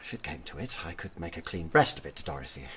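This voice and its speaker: Man acting a smooth, evasive British colonial gentleman. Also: a bass voice, big pitch swings, slight background noise, full character voice. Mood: placating, evasive. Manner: condescending, brisk, placating. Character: smooth, self-serving British colonial lover, evasive and patronising. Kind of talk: radio drama acting.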